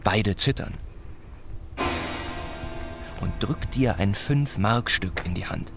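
A man narrating a literary radio play about village life in a sombre, measured, deliberate way. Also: on the low side, animated, vocal fry, music in the background, full character voice. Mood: grave.

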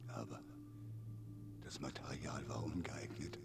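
An actor playing a bitter old dragon at death's door in a German radio drama, gravelly and half-whispering. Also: male voice, very deep voice, little pitch movement, unhurried, exaggerated voice. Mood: bitter, fading. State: dying, weakened.

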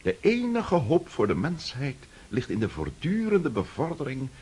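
A man's voice: mid-range voice, wildly swooping pitch, normal speed, a little mellow, husky, heavily acted. An actor playing a pompous middle-aged count and freemason who lectures his friend in a lofty, condescending way in a radio drama. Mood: preachy.

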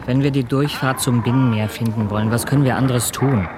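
Loud wind and sea noise surround a stubborn captain played by a man in a radio play. His mid-pitched is terse and resolute, the voice of someone driven onward. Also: fairly even intonation, fairly quick, natural voice. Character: stubborn, driven ship's captain in polar ice. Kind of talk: German radio drama acting.

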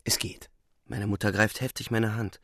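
An actor playing a weary young soldier on leave in a radio drama, restrained and matter-of-fact in manner. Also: male voice, fairly low pitch, little pitch movement, normal speed, fairly bright tone, no room sound, studio quality. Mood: reassuring, guarded. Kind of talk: radio drama narration and dialogue.

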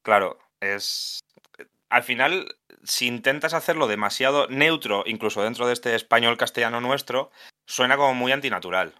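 Bright-voiced translator Asturias. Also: male voice, mid-range voice, normal speed. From Asturias, Spain. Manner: thoughtful, many restarts and self-corrections. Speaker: video game translator, guest. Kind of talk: casual podcast conversation.

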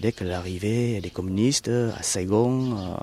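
A man from South Vietnam speaking, recounting his past in an interview with frequent hesitant pauses and fillers. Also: on the low side, a little mellow, breathy edge. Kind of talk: recounting personal history.

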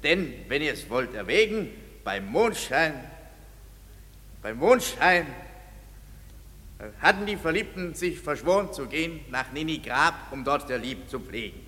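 An actor playing an earnest amateur prologue speaker, declaiming rhymed radio play verse in a pompous, halting, emphatic way. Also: male voice, fairly high pitch, very animated, pushed and bright, heavily acted. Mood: pompous presenting. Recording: reverberant hall.

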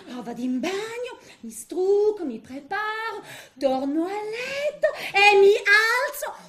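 A woman's voice: very high voice, very animated, measured pace, fairly bright tone, full character voice. A woman playing a vain, coquettish middle-aged lady in a comic stage monologue, affected and theatrical, with French interjections. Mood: confiding, fussy. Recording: roomy, echoing stage sound.

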